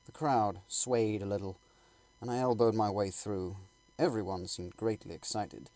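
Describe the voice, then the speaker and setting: A man reading a classic novel aloud as a dramatic audiobook, with theatrical, suspenseful and deliberate phrasing. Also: lowish voice, steady tone, dark tone, dry recording. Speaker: audiobook reader.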